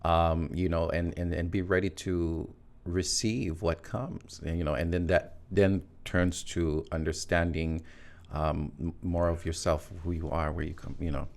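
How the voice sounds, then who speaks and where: A man, talking reflectively as an interview guest, pausing often with fillers and laughing easily. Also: sits low, little pitch movement, creaky, darkish tone. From Hawaii. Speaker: guest musician. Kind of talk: casual interview conversation.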